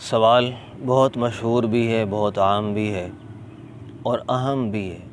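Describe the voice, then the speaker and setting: A man with a Pakistani accent explaining a religious ruling as an Islamic scholar, deliberate and emphatic, repeating key points. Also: steady tone. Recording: clear background noise, ambient vehicle rumble.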